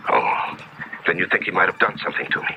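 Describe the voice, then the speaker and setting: An actor playing a desperate, fear-haunted journalist in a 1970s radio drama, agitated, halting and pleading. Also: male voice, low-pitched, sharp tone. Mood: frightened, pleading. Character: desperate, fear-haunted male journalist under a death curse. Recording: dull, noisy 1970s radio broadcast.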